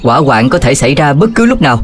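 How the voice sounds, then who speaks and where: A man's voice: mid-range voice, very animated, quick pace, music in the background, natural voice. A man playing a kindly firefighter who instructs children in a didactic, encouraging way in a cartoon. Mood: encouraging, instructive.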